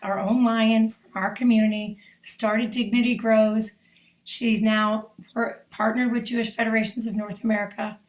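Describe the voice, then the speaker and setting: A woman with a hoarse voice talks warmly and loosely on a video call, drifting into asides as she goes. Her pitch stays fairly level, and her voice bounces around an echoey room at home. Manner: chatty and effusive, rambling asides. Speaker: federation volunteer leader, featured speaker. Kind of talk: off-the-cuff remarks on a video call. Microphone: video call mic.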